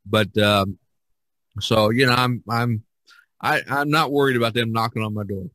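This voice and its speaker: A man's voice: medium pitch, steady tone, moderate pace, bright, forceful tone, dry recording. An older man hosting a podcast in a casual, rambling, anecdotal way, often repeating his phrases. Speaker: podcast host.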